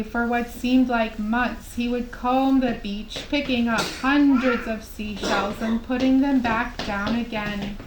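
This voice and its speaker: A woman narrates a children's tale at a very slow, careful pace, pausing gently between phrases. Her voice sits a little high. It echoes around the room, with some background noise. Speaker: puppet show storyteller. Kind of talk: children's storytelling, scripted narration. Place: indoor room at a live puppet show. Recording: roomy echo, clear background noise.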